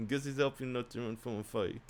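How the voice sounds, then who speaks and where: A man voicing a fierce, foul-mouthed tiny old grandmother with a rough rural drawl, shrieking in anger and hushed in tenderness. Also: mid-range voice, fairly even intonation, brisk, natural voice. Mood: furious mocking.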